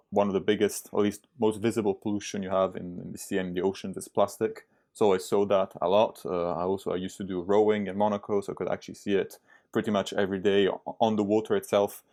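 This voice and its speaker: Young man, low, hesitant. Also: steady tone, fast, gravelly, a little mellow. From Italy. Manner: hesitant, many fillers and restarts. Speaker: startup founder, podcast guest. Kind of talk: casual interview talk.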